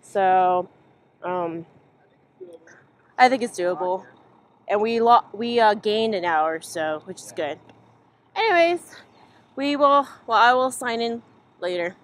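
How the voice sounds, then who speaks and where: A woman's voice: mid-range voice, animated, takes it slowly, long pauses. A young woman chatting casually and informally in a rambling travel vlog, recorded on a camera held at arm's length. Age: young adult. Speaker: vlog host, filmmaker. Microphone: built-in camera mic at arm's length. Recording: noisy handheld amateur recording.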